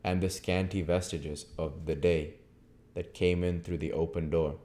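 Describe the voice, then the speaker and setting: Male amateur audiobook narrator, low register, reading classic fiction aloud. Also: level tone, measured pace, mellow. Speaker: audiobook reader.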